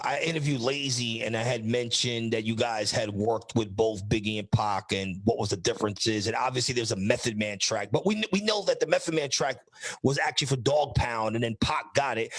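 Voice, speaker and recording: A man's voice: medium pitch, fairly bright tone, slightly rough, dry recording. A man hosting a live interview show, asking long multi-part questions and relaying viewer questions. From United States. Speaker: interview host.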